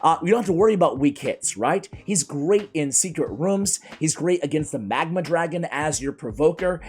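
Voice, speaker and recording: A man reviewing video game characters in a casual, energetic way, his voice strained and worn from a cold. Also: a little high, normal speed, husky. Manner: energetic, chatty, keeps addressing viewers as guys. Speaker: gaming YouTuber.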